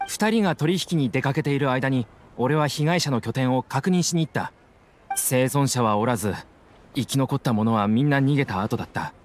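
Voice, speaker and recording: A man playing an earnest, gruff-tempered mercenary in an anime game, blunt in manner and quick to take offense. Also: medium pitch, a little hiss or hum, close to an everyday voice. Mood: grave. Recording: faint music behind the voice.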